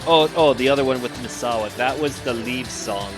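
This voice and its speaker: A man with a Minnesotan accent riffs and teases his friends while commentating a wrestling game stream. His voice sits at an ordinary middle pitch. Game music plays clearly behind him. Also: normal speed. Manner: joking, teasing, rambling.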